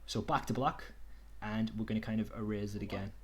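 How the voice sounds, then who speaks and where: A young man casually talking through a screen-share tutorial on a video call, relaxed and chatty. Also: fairly low pitch, a little fast, over a noisy crowd. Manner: relaxed, chatty, says "okie dokie" and "kind of". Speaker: online workshop tutor.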